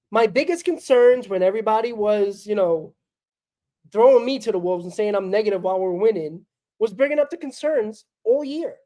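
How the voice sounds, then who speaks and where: A woman's voice: fairly high pitch, warm tone. Sick, crackling-voiced woman ranting blunt. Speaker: postgame show host. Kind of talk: solo fan postgame rant.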